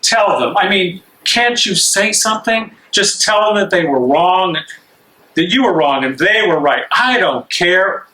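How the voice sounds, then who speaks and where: A man's voice: high voice, animated, unhurried, bright, forceful tone, heavily acted, rough recording. A man acting an angry, bitter imprisoned dissident in an amateur stage reading, agitated, challenging and insistent. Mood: urgent, pleading.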